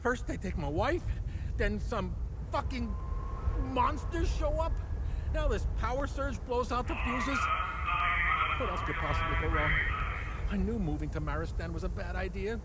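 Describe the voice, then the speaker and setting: An actor playing an exasperated, bitter husband, whining and ranting, in a video game. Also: male voice, high-pitched, lively intonation, moderate pace, background noise. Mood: exasperated, angry. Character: exasperated, bitter, beleaguered husband, foul-mouthed.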